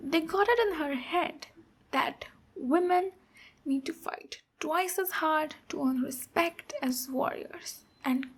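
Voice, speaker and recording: High-voiced, unhurried ogre warrior woman played by an actress. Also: gravelly, exaggerated voice. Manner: unhurried, deliberate, pausing between phrases. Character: boisterous female ogre warrior, protective of her human servant. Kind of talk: ASMR roleplay, storytelling and direct address.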